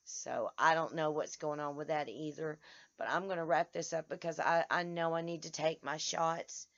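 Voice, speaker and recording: A hoarse woman vlogging casually to her followers outdoors, tired and halting, often restarting her words. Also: sits low, fairly even intonation, lo-fi sound. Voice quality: halting, many restarts and stammers. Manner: tired, speaks in short broken phrases. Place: outdoors in the sun.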